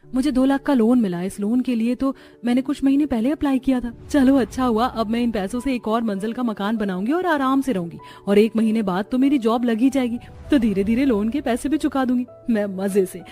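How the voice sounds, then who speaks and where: A woman's voice: a little high, a little fast, hardly any pauses, warm tone, faint music behind, natural voice. A woman playing a young, dramatic, exasperated new bride in a cartoon family story. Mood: pleased.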